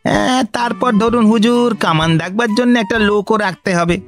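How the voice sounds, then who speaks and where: An actor playing a wily village grain trader who flatters and pleads long-windedly in a radio comedy. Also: male voice, high voice, moderate pace, faint music behind, close to an everyday voice. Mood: pleading, wheedling. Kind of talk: Bengali radio comedy drama acting.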